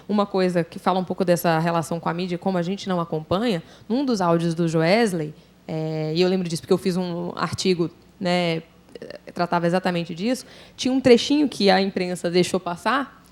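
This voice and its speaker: A Brazilian woman journalist speaking informally on a panel, thinking aloud haltingly and often adding tag questions. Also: fairly low pitch. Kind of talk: panel discussion, informal opinion.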